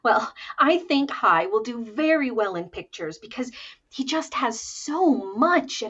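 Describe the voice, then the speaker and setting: A woman's voice: fairly high pitch, expressive, fairly bright tone, breathy edge, lo-fi sound, heavily acted. A woman playing a sweet starlet who acts out a haughty society wife, melodramatically, in 1940s radio comedy style. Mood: sweet, friendly. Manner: melodramatic, drawn-out delivery.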